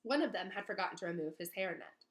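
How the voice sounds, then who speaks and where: A woman reading a children's novel aloud as an expressive storyteller. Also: mid-range voice, quick pace.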